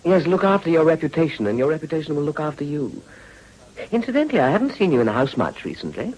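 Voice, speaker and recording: An actor playing an urbane, world-weary Edwardian gentleman with an upper-class English accent and a dry, epigrammatic manner in a period radio drama. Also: fairly high pitch, sing-song, fast, husky, rough recording. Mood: dry, teasing.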